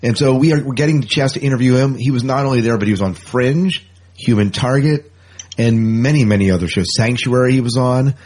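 A man's voice: mid-range voice, a little fast, darkish tone. A man co-hosting a casual sci-fi fan podcast, rambling and jokey, often repeating words for emphasis. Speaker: podcast co-host.

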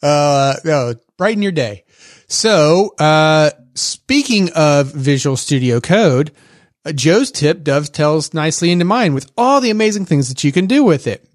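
A man's voice: on the high side, expressive, unhurried, no room sound, studio quality. A man with a Texas accent, co-hosting a tech podcast in a rambling, casual way with many fillers and restarts. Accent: Texan. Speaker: podcast co-host.

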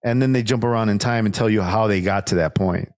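A man's voice: fairly low pitch, quick pace, a little mellow, no room sound, clean studio sound. A Mexican-man, co-hosting a casual show review, rambling and laughing often. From Mexican-American, United States. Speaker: podcast co-host.

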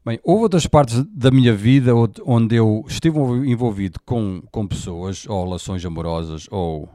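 A man's voice: on the low side, moderate pace, dark tone, no room sound, studio quality. A Portuguese man in his forties with a European Portuguese accent, hosting a candid, colloquial monologue podcast with frequent fillers. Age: 40s. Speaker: podcast host.

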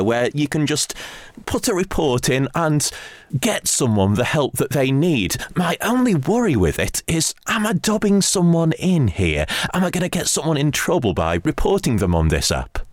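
A man's voice: fairly high pitch, no room sound, clean studio sound. A man interviewing in an informal, chatty, conversational style. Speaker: radio interviewer.

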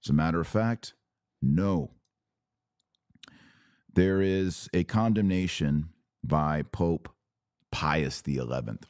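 Male podcast host for opinionated religious commentary: slow, deliberate, punchy phrasing, emphatic and dogmatic, in a dry studio-like sound. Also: rumbling low, darkish tone, no room sound.

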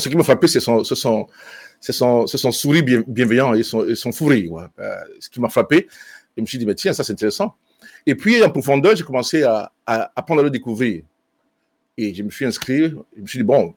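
A man speaking in a hesitant, searching way, full of fillers and restarts. His voice sits at an ordinary middle pitch. The recording is dry and close, with no room sound. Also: a little mellow, no echo. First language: French. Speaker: bass student. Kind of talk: testimonial interview.

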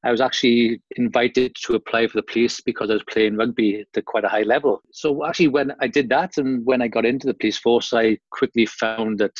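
A middle-aged man with a Welsh accent, a podcast guest telling his career story in a relaxed, conversational way. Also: medium pitch, brisk, few pauses, no echo. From South Wales. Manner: conversational, with fillers and restarts, recounting a career at length. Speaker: guest, former police officer. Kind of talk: interview storytelling. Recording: dry, dull lo-fi audio.

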